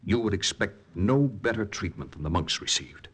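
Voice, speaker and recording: An actor playing an earnest young wartime underground lieutenant in 1940s radio drama style, measured and grave. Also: male voice, fairly low pitch, sing-song, a bit bright, husky, heavily acted. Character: earnest male wartime underground lieutenant narrating his mission. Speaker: actor and first-person narrator. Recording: vintage 1940s radio recording.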